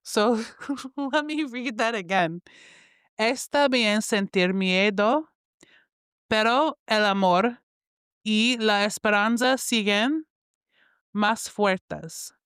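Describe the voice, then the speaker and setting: A woman hosts a casual solo podcast from her bed, reading affirmations and joking at her own stumbles. Her voice is very clear and smooth. The sound is close and dry, with no room echo. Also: medium pitch, slow, pauses often, a bit bright. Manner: chatty, self-mocking, laughs at her own slips. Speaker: podcast host.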